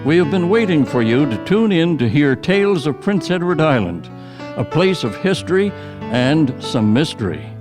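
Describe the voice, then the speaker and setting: A man's voice: fairly high pitch, big pitch swings, normal speed. A man delivering a scripted introduction as host of a radio drama programme. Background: plucked guitar music.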